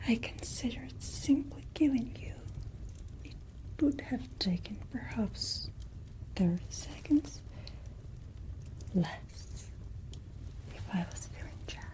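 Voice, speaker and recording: Woman acting out a vengeful vampire noblewoman, breathy whisper, very slow deliberate delivery, cold, imperious, ASMR roleplay. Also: fairly high pitch, lively intonation, poor audio. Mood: cold menace. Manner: slow, deliberate, haughty, softly threatening.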